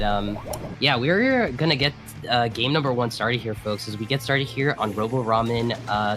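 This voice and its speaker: A man with a raspy voice, hoarse from a cold, doing co-commentary for a video game tournament and hesitating often with fillers and restarts. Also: medium pitch, fairly bright tone. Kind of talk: esports commentary.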